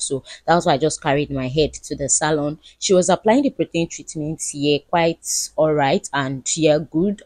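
A woman's voice: deep voice, a little mellow, no echo. A young woman narrating a vlog voice-over in a chatty way, repeating words for emphasis. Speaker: beauty vlogger.

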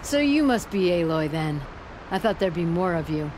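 Female weapon smith, low pitch, brash, playful, breezy, video game, clear ambient noise. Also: very animated, moderate pace. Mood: teasing, confident. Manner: blunt, teasing, breezy. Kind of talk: cinematic video game acting.

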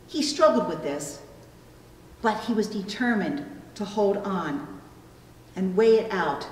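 Unhurried female preacher in an echoing hall. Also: lowish voice, slight background noise. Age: middle-aged. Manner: deliberate phrasing with pauses for emphasis. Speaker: pastor. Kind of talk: sermon with illustrative stories. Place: church pulpit indoors, recorded from a distance. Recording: echoey hall, long reverb.

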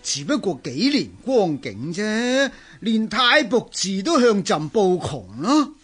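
A man with a Hong Kong Cantonese accent playing an imperious, world-weary emperor with a husky voice in a historical radio drama. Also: sits high, very animated, takes it slowly, fairly bright tone, faint music behind, exaggerated voice. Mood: aggrieved. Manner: imperious, sighing, grumbling. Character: money-hungry, world-weary male emperor of imperial China.

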